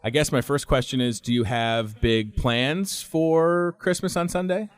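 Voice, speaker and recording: A man's voice: mid-range voice, measured pace, smooth, no echo, studio quality. A man hosting a radio show, eager and a little nervous, talking like a fan to his guest. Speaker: radio host. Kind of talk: radio interview.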